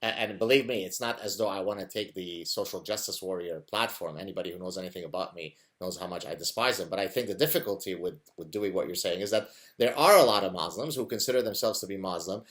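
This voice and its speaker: A man hosting an interview podcast, putting his questions in a measured, wry way. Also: low-pitched, a bit bright. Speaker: podcast host. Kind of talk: interview conversation.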